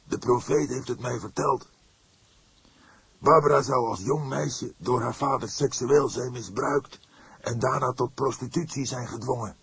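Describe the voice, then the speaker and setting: A man's voice: medium pitch, measured pace, gravelly, a bit bright, slightly rough, voice pushed up, exaggerated voice. A man voicing a fervent, pious religious father in an audiobook, speaking in a solemn, declamatory way. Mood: uneasy, guarded. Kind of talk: audiobook character acting.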